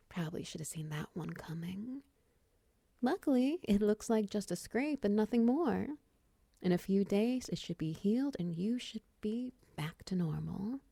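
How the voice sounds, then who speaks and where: A woman playing a friendly, teasing half-spider monster in an intimate audio roleplay, soft-spoken, breathy and often close to a whisper. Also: fairly low pitch, no echo. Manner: gentle, teasing, slow murmurs with soft chuckles.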